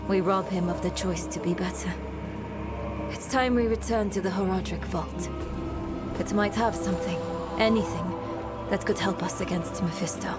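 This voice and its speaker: Weary, resolute young female scholar, played by an actress, dark fantasy game, measured and slow, music behind. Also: medium pitch, lightly acted. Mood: wistful, resolute. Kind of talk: dramatic video game acting. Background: cinematic background music.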